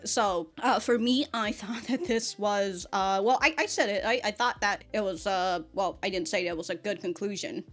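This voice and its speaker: A woman giving casual, off-the-cuff reaction commentary as a review host, rambling, slangy and animated, with some swearing. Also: mid-range voice, moderate pace, few pauses.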